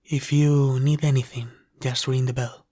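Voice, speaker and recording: Man playing a slow, gentle, deferential dragon butler. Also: mid-range voice, level tone, close to an everyday voice. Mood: humble, deferential. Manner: deferential, formal, gentle, unhurried. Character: polite, caring, devoted male dragon butler. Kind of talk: ASMR roleplay, soft scripted character acting.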